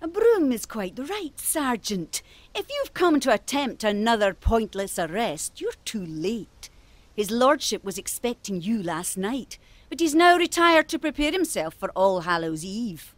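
Haughty, lilting, cryptic islander. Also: female voice, on the high side, big pitch swings, no room sound. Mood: condescending. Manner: measured, formal, withholding. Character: haughty, cryptic island woman, coolly condescending. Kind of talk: audio drama acting.

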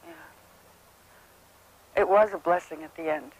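An actress playing a weary widow who talks softly and wistfully about her late husband. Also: on the low side, slow, slightly rough, low-quality recording. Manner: soft, rambling, wistful. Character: warm, weary widow of a drinker. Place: outdoors, cemetery.

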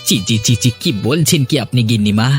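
A man's voice: mid-range voice, animated, fairly quick, soft background music, close to an everyday voice. A man playing a worried, respectful household servant in a cartoon fairy tale. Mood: shocked.

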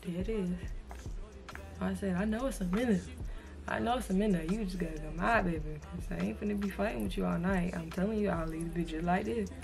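A young woman in her twenties talks casually and playfully to her viewers, her voice sitting a little low. She sounds close to a camera in a bedroom, with music playing clearly underneath. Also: fairly quick. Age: 20s. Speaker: vlogger. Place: bedroom, indoors.

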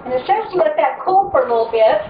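A woman's voice: high-pitched, animated, fast talker. A middle-aged woman hosting a home cooking video, chatting casually and making self-deprecating asides as she works. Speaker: home cooking show host. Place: home kitchen, indoors. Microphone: fixed camera across the room. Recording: echoey, distant, dull, noisy home video.

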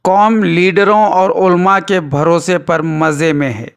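A man's voice: high voice, fairly even intonation, slow pace, gravelly, clear, resonant tone. A man reporting news as scripted narration with commentary, in formal, rhetorical phrasing.

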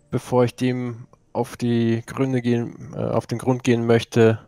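A man's voice: medium pitch, darkish tone, no echo. A man playing a tabletop game, talking casually in character in a rambling, tentative way.

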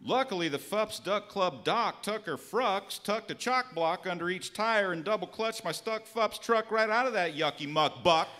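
A man's voice: sits high, lively intonation, normal speed, hardly any pauses, sharp tone. A man in his thirties playing a laid-back, good-natured beer truck driver in a live comedy sketch full of tongue-twisters. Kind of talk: live radio-style beer ad parody. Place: stage in a small theatre. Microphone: stand microphone.

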